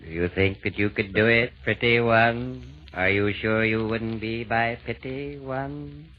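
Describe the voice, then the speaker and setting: A man's voice: fairly low pitch, steady tone, unhurried, lightly acted. A man playing a suave, commanding devil who chairs a council of fiends in formal, oratorical rhyme. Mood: sly teasing. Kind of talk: 1930s radio verse comedy acting. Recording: dull, noisy old radio broadcast.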